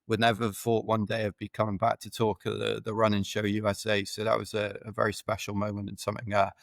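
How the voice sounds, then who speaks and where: A man in his forties with a low voice that stays close to one pitch. He talks as a podcast guest, rambling and correcting himself as he goes. The sound is dry and close on the mic. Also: completely flat delivery, quick pace, creaky, dry recording. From Wales. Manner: rambling, self-correcting, chuckles while telling stories. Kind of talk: casual podcast interview.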